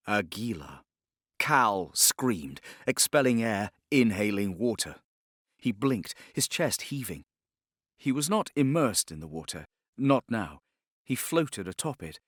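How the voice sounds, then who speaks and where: A man's voice: fairly low pitch, fairly bright tone, no echo, clean studio sound. A man narrating a novel as an audiobook, reading scripted prose in a dramatic storytelling style. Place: studio, close and dry.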